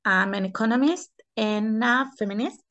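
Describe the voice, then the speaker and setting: A woman from Chile with a Chilean Spanish accent, giving interview answers in halting non-native English and searching for words. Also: a little high, fairly bright tone, dull audio. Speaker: interview guest, economist and feminist.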